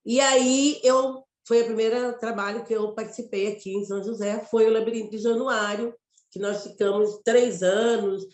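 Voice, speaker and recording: A woman from Mato Grosso with a Mato Grosso Brazilian accent, an actress telling her story in an interview, reflective and halting, often restarting phrases. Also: medium pitch, a little mellow, reverberant. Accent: Mato Grosso Brazilian Portuguese. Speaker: actress and guest.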